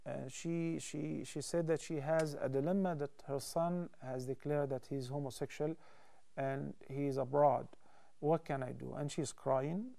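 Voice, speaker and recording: A man in his thirties, a studio TV scholar, answering callers' questions with calm, measured explanations into a lapel mic. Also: on the high side, vocal fry. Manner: calm, measured, explains step by step with hand gestures. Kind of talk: studio call-in Q&A advice.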